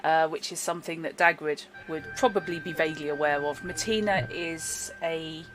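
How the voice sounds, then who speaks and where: A woman narrating as game master in a chatty, explanatory way, with fillers and quick laughs. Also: low-pitched, fairly even intonation. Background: background music.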